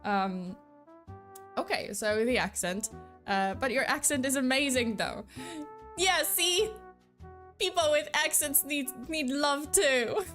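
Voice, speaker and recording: A woman's voice: high-pitched, animated, takes it slowly, pauses often, a bit bright, faint music behind. A young woman with a slight mixed accent, not a native English speaker, rambling in a casual live-stream chat with lots of fillers. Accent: slight mixed non-native.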